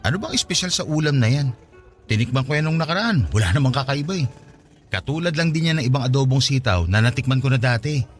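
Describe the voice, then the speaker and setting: Lively, quick-talking young Filipino boyfriend, acted by a man. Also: medium pitch, lightly acted. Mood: teasing, puzzled. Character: young working man, teasing, curious boyfriend. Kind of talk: radio drama dialogue.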